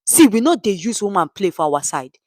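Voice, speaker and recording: A woman's voice: medium pitch, sing-song, fairly quick, a little mellow, dry recording, studio quality. A woman voicing a menacing male street thug in a story, barking threats with a Nigerian accent.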